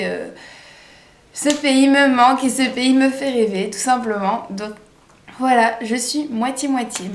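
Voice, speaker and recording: A twenty-year-old woman from France talks to her camera in a relaxed, enthusiastic way, pausing often with small hesitant fillers. Her voice sits a little high. The room around her is echoey, with a camera-mic sound. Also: a little hiss or hum. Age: 20s. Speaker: vlogger. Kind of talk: casual introduction vlog.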